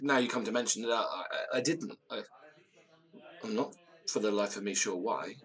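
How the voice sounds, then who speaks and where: An actor playing a nervous, theatrical, disgraced gentleman in an audio drama, with florid old-fashioned diction and a tendency to stammer. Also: fairly low pitch, normal speed, natural voice. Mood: uneasy, reminiscing. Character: nervous, theatrical, hard-drinking disgraced university gentleman storyteller.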